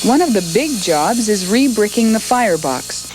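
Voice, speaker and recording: A woman narrating a documentary in a calm, measured, scripted way. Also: medium pitch, very noisy. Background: music.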